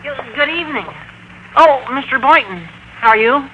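An actor voicing an awkward, pleading American teenage boy with a squeaky, cracking voice in 1950s radio comedy. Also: expressive, moderate pace, highs cut off. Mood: nervous. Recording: faintly noisy vintage radio recording.

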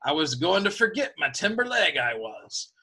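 A crafty old one-legged cook, as a man reading a storybook puts him on. He drawls slowly and coaxingly in a bright, husky voice. He sounds a little back from the mic. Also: a little high, big pitch swings, full character voice.

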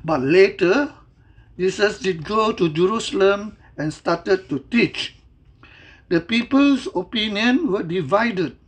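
A person reading a scripted Bible devotional and prayer in a measured, deliberate, reverent manner. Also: medium pitch, expressive, slightly rough.

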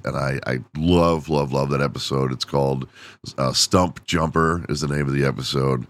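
A man's voice: extremely low pitch, fairly bright tone, no room sound, studio quality. A man hosting a casual solo podcast, rambling and self-deprecating with frequent laughs, and losing his voice from overuse. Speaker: podcast host.

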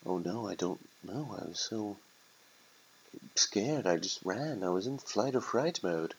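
A man's voice: fairly low pitch, lively intonation, unhurried, slight background noise, poor audio. Man voicing a naive, frightened, hesitant lost turkey. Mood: frightened, dismayed. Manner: hesitant, plaintive.

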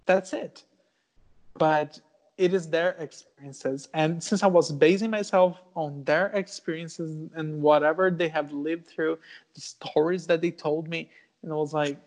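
Brazilian man, portuguese speaker, young adult, earnest and thoughtful, unhurried delivery, somewhat high voice. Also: darkish tone. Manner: thoughtful, earnest, full of fillers and restarts. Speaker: guest artist. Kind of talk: casual interview.

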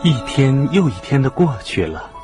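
A man narrates a children's story with a mid-pitched, very animated voice. His delivery is scripted and expressive, and music plays behind him. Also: normal speed.

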